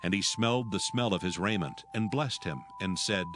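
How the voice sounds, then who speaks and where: A man narrating a dramatized scripture reading in a measured, solemn storytelling manner. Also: low voice, background noise.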